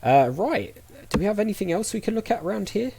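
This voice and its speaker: Lively, chatty man commentating on a game. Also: sits high, quick pace, creaky, background noise. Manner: chatty, thinking aloud. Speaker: let's play host.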